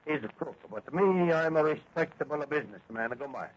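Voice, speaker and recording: A man's voice: fairly high pitch, animated, brisk, natural voice. An actor in a 1940s radio drama playing a crooked crime boss who poses as a respectable businessman. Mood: defensive. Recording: muffled, faintly noisy old radio recording.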